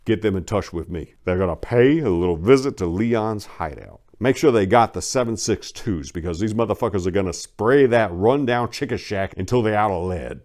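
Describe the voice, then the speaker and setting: Menacing male crime boss as acted by a male narrator, low voice, commanding street talk, audiobook drama. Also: animated, moderate pace, few pauses, a little mellow, lightly acted. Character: menacing foul-mouthed male crime boss giving orders.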